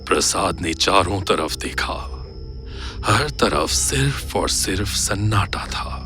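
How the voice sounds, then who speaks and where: Slow, intense male horror narrator. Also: deep voice, lively intonation, pushed and bright, faint music behind. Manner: suspenseful, dramatic. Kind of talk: dramatic scripted horror story narration.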